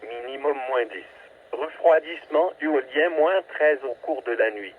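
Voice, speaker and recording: A man's voice: mid-range voice, measured pace, phone-quality sound. Robotic weather radio guy, clipped phrases, phone-thin sound w a bit of static. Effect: radio transmission. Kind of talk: automated scripted weather forecast reading.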